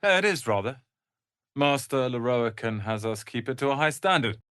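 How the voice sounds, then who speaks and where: An actor voicing a proud, prickly young wizard's apprentice in a fantasy video game, haughty, theatrical and sharp-tongued. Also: male voice, medium pitch, expressive, moderate pace, clear, resonant tone, no room sound, clean studio sound, natural voice. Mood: polite, self-important.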